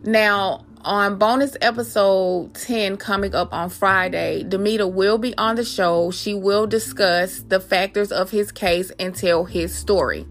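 A woman's voice: mid-range voice, steady tone, unhurried, few pauses. A woman hosting a podcast, talking personally and familiarly to her listeners and reading aloud. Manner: addresses listeners familiarly as guys. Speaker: podcast host.

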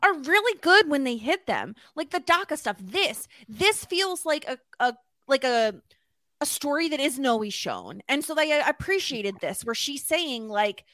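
A woman's voice: high voice, very animated, normal speed, a bit bright, no room sound. A woman co-hosting a podcast, recapping a TV episode in casual chat and thinking aloud with lots of "like"s and restarts. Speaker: podcast co-host.

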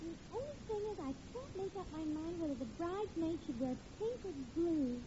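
An actress playing an eager, coy young American bride-to-be in 1940s radio comedy style, cooing and insistent. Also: female voice, extremely high pitch, expressive, fairly quick. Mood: bubbly. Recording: muffled, noisy old radio recording.

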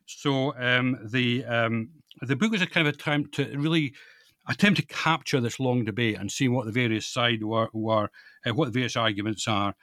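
Older Scottish man, author guest on a podcast, halting thoughtful explainer, mid-pitched, dry sound. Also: a bit bright, no echo. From Scotland. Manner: hesitant, halting, often repeating words as he thinks. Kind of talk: informal explanatory interview talk.

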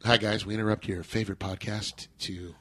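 A man's voice: on the low side, steady tone, fairly quick, music in the background. Man reading a jokey podcast promo. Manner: mock-formal, jokey.

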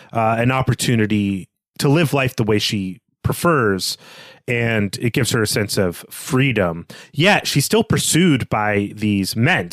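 A man's voice: mid-range voice, fairly bright tone, no room sound. A man co-hosting a literary discussion, explaining in an organized way and signposting each point as he goes. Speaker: podcast co-host.

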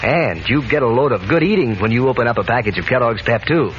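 A man's voice: mid-range voice, expressive, fast, slight background noise. A man announcing a 1940s radio advertisement for children with folksy, enthusiastic salesmanship, on a muffled old recording. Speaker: sponsor announcer.

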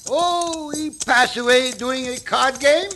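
An actor playing a jolly middle-aged Mexican sidekick in a 1940s radio drama, with a mock Mexican accent and broken English. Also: male voice, slow, sharp tone, full character voice. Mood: joking. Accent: mock Mexican Spanish accent. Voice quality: broken English, high and gravelly. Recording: noisy old radio transcription.

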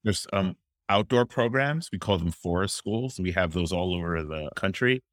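Deep-voiced man telling his story. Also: expressive, normal speed, no room sound. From Miami, Florida. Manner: earnest, storytelling, with fillers. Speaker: guest, childcare company founder. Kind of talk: conversational interview answers.

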